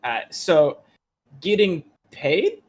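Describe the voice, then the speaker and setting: A man's voice: fairly high pitch, wildly swooping pitch, extremely slow. A man who works as a voice actor, chatting casually and hesitantly on a panel, rambling a little with informal language. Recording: noisy convention stream audio.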